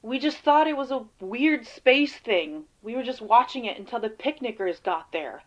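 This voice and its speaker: A woman playing a weary, defensive field agent in an audio drama interview, speaking haltingly and casually. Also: on the high side, animated, dull audio, close to an everyday voice. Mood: guarded, weary.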